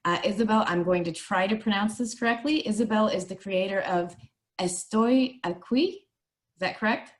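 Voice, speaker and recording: A woman's voice: mid-range voice, normal speed. A woman moderating a webinar who introduces the speakers with praise and the occasional filler. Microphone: video call.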